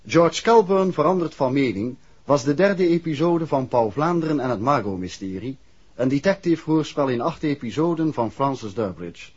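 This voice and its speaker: A man making a formal, measured, scripted credits announcement as a radio announcer. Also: medium pitch, lively intonation, darkish tone, husky. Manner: formal, measured list reading. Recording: muffled 1960s radio recording.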